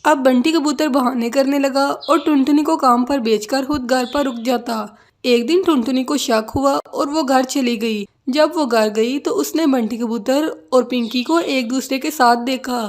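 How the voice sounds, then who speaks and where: A woman's voice: high-pitched, a little slow. A woman narrating a scripted children's cartoon story.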